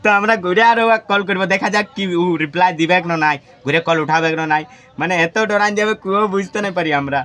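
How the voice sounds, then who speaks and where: A man's voice: sits high, fast, fairly bright tone. A man hosting an unscripted prank call, chatting to the caller and to viewers.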